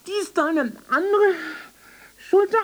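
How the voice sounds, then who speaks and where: A woman playing a frantic, obsessive archive dweller in a radio drama, speaking in broken, repeated commands and often dropping to a whisper. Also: very high voice. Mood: shrill, straining. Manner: halting, repetitive, clipped telegraphic phrases, often whispering. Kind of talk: avant-garde radio drama acting.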